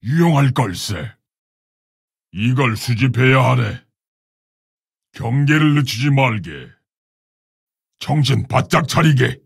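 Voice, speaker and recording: An actor playing a proud, honorable orc warrior shaman in a video game, speaking in a gravelly, husky, solemn and commanding way. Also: medium pitch, expressive, slow pace, long pauses, dry recording, studio quality, exaggerated voice. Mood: solemn, calm. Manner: solemn, commanding, archaic formal phrasing. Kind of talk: video game character battle lines.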